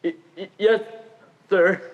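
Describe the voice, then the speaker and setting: Teen boy playing a stammering, high-voiced, gentle outcast. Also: steady tone, phone-quality sound. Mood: timid, halting. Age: teenager. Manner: heavy stammer, halting. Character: gentle, timid, deformed man seeking a home. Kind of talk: high school stage play acting. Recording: echoey theater hall.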